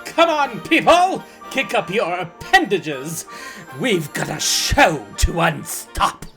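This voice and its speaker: A woman's voice: on the low side, big pitch swings, takes it slowly, over music, exaggerated voice. A woman playing a melodramatic, self-important choreographer with a theatrical, grand delivery in a comic audio drama. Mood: rousing, showy. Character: melodramatic, flamboyant, self-important showbiz choreographer named Milford. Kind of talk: scripted audio comedy drama acting.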